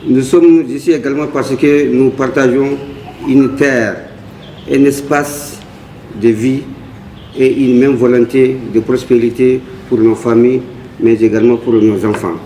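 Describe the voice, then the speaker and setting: Mellow man, distant in an echoey room. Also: mid-range voice, steady tone, measured pace. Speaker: NGO representative. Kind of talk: formal opening speech at a community meeting. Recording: echoey room, distant mic, faint noise.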